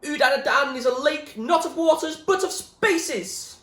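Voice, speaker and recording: A man's voice: extremely high pitch, a little slow, fairly bright tone, reverberant, voice pushed up. A man voicing a wild-eyed madman in a storybook, ranting feverish prophetic nonsense. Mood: frantic ranting.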